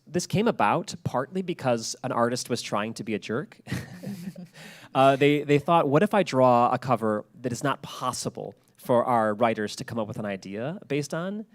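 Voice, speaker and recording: Chatty man presenting an informal lecture. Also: medium pitch. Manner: chatty, colloquial storytelling with asides. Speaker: presenter at a public talk. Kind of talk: informal public lecture with slides.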